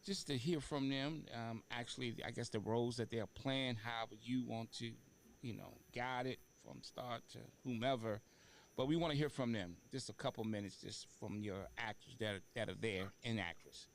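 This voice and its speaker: A man hosting a talk show in a casual interview style, speaking haltingly and repeating words for emphasis. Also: mid-range voice. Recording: faint background noise.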